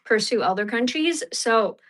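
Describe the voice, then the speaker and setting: A woman's voice: a little high, animated, a little slow, fairly bright tone. A young woman from Fiji, a podcast guest and economist, talking casually with many fillers. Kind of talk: casual interview.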